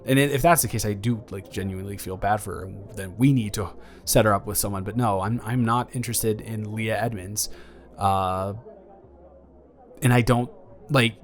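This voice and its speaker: A young man playing a flustered, awkwardly tender ex-boyfriend in an improvised romantic audio roleplay, halting and stammering as he rambles and corrects himself. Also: fairly low pitch, a bit bright, close to an everyday voice. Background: background music.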